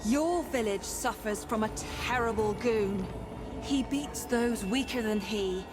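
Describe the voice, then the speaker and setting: A woman voicing an ethereal, enigmatic seer in a fantasy game, posing questions in a measured, formal and cryptic way. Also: a little high, lightly acted. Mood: measured, probing. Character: ethereal wandering female seer, enigmatic and probing. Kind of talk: theatrical fantasy game acting. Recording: music behind the voice.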